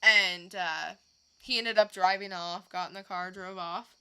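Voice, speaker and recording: A woman's voice: on the low side, steady tone, measured pace, bright, forceful tone, smooth, low-quality recording. A woman co-hosting a podcast, recounting odd stories in casual chat with her partner. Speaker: podcast co-host.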